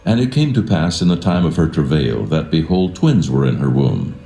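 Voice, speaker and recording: Casting: male Bible narrator, bottomless bass, mellow and dark, formal reverent reading, soft music underneath. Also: expressive, moderate pace, creaky. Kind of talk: solemn scripted scripture narration. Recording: roomy echo with music behind.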